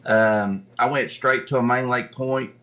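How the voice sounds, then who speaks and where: A man's voice: mid-range voice, fairly even intonation, a little slow, fairly bright tone. A man chatting casually as an interview guest in a plainspoken way, on dull lo-fi audio. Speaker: podcast guest, tournament kayak angler.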